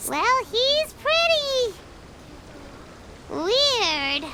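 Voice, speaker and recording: A woman voicing a tiny, blunt, childlike female fairy companion in a video game, with a squeaky voice. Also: big pitch swings, drawn-out words, very noisy, heavily acted. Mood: frank. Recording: music behind the voice.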